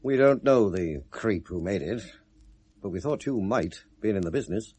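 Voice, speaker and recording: British male, 1970s radio drama: dry, probing police detective, lower register, very varied delivery. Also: lively intonation, moderate pace, mellow, slightly rough, no room sound. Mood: wry, probing. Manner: wry, questioning. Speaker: actor in a radio play.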